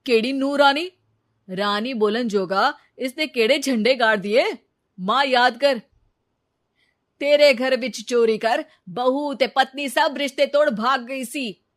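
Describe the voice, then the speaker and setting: A woman's voice: sits high, slow. Woman playing a stern young Punjabi husband. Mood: angry. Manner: indignant, stern. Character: angry betrayed young husband, stern and unforgiving.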